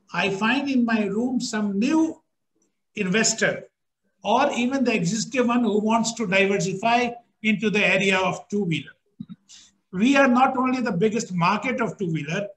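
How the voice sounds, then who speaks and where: A man in his fifties with an Indian accent and a high-pitched voice. He talks as a government panelist on a video call, restating points with emphasis. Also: fairly bright tone, rough recording. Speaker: government policy official, panelist. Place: small office, indoors. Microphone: video call, computer mic at desk.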